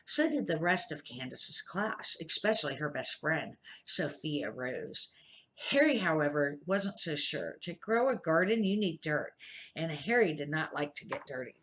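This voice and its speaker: An older woman, a grandmother, reading a children's picture book aloud in a measured, careful amateur style with short phrases. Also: low-pitched, very animated, bright, forceful tone, a little hiss or hum.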